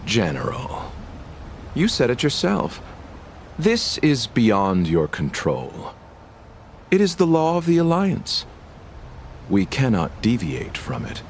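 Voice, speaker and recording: A man voicing a grave, composed envoy in a video game, formal, deliberate and courteous. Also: medium pitch, huge pitch swings, a little hiss or hum, exaggerated voice. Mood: politely firm. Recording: music playing behind the voice.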